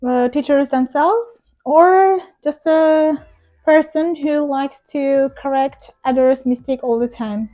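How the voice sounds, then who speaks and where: Young non-native woman ESL teacher, high but mellow voice, earnest and encouraging, soft music underneath. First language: not English. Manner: earnest, encouraging, direct address to viewers. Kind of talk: talking-head teaching vlog.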